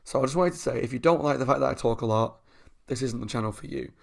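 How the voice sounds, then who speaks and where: A young man hosting a reaction channel at home, chatting casually with a croaky, sore voice and frequent laughs. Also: medium pitch, fast talker. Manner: chatty, talks a lot, laughs often.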